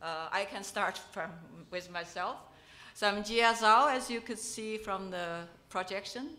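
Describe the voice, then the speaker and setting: A woman's voice: mid-range voice, measured pace, sharp tone. A woman from China moderating a film festival panel on stage, hesitant, with many restarts and fillers. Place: event hall with a panel on stage.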